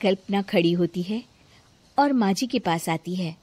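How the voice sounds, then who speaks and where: A woman's voice: medium pitch, measured pace, mellow, breathy edge, no echo. A woman narrating a scripted cartoon story in a plain storytelling manner.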